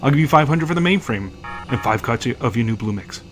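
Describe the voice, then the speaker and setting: A man's voice: mid-range voice, lively intonation, a little fast, natural voice. A man playing a brash, foul-mouthed dealer in illegal implants in a science fiction audio drama, blunt and full of slang. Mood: haggling.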